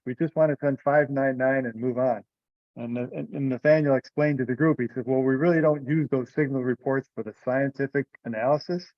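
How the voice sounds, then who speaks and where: A man's voice: mid-range voice, no echo. A man talking casually in an online meeting, explaining things in a hesitant way. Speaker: meeting participant leading discussion. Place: online conference call. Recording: muffled, band-limited conference call audio.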